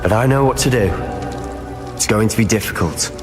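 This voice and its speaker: A man's voice: lowish voice, moderate pace, music in the background, close to an everyday voice. Sebastian, a man playing a bold young wizard. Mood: determined. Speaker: game character. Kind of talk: video game acting.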